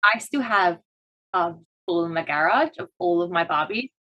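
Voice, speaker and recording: A woman's voice: fairly low pitch, lively intonation, normal speed, a bit bright, highs cut off. A woman with an Australian accent, a guest on a podcast, telling personal stories in an earnest, open way. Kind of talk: casual chat.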